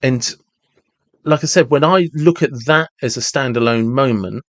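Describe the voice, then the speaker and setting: A man's voice: medium pitch, moderate pace, no echo. A man in his forties talking reflectively and casually as a podcast host, often repeating and rephrasing himself as he goes. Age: 40s.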